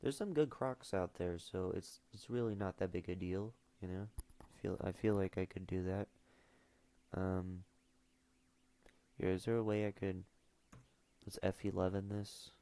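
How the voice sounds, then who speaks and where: A man's voice: deep voice, fairly even intonation, a little slow, dark tone. A teenage boy streaming casually, mumbling and trailing off with fillers as he reads questions aloud. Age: teens. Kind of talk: casual livestream chat.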